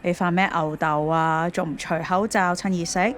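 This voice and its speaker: A woman's voice: on the low side, steady tone, moderate pace, a little hiss or hum, natural voice. A woman with a Hong Kong accent playing an impatient, nagging wife in an audio drama, with a brusque way of talking.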